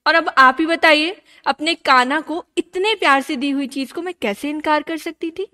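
A woman playing an earnest, gentle young princess steadfast in her devotion, in a radio drama. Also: high voice, normal speed. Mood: earnest, pleading. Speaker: actor in a student radio drama. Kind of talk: radio drama acting in Hindi.

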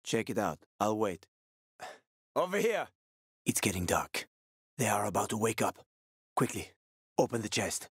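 A man's voice: fairly low pitch, very animated, a little slow, long pauses, husky, no room sound, clean studio sound, full character voice. A male actor voicing a wry, streetwise survivor guide and ex-soldier in a video game, with colloquial, foul-mouthed talk. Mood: wry, guiding. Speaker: video game companion character.

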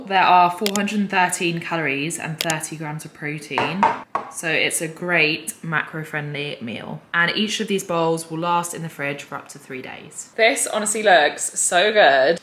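A woman's voice: fairly low pitch, background noise. A young adult woman, chatting casually and emphatically as she walks through recipes in a home kitchen. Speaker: food and fitness vlogger. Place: modern hard-surfaced home kitchen, indoors. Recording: roomy kitchen echo.

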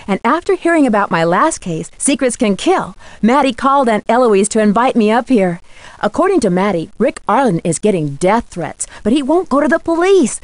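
A woman voicing a bright, inquisitive teenage girl detective in a video game, with an American accent and clear, earnest, conversational delivery. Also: a little high, no echo. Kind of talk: scripted video game voice acting.

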